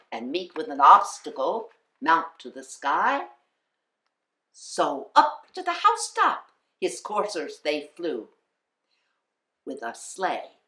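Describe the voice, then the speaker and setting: A woman reading a children's picture-book poem aloud as a storyteller, theatrical and deliberate, with dramatic pauses. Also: sits low, bright, forceful tone. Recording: roomy, echoing voice recording.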